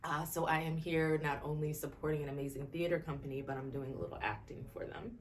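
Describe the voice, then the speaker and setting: Woman, low voice, casual actress chat, faint background noise. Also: level tone, fast, far from the mic. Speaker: actress in an audio drama. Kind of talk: casual interview and studio chat.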